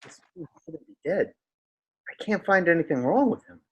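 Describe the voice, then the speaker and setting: Casting: actor as grumbling TV starship doctor, exasperated, higher male voice with a husky edge. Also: expressive, moderate pace, a bit bright, highs cut off. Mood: baffled exasperation. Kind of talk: dramatic screenplay table read.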